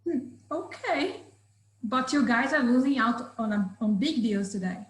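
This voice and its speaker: A woman's voice: fairly high pitch, expressive, unhurried, echoey room, low-quality recording. A woman playing an aggressive, wheedling salesman of about thirty in a script table read. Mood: coaxing. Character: pushy thirty-year-old male shop seller, aggressive and wheedling.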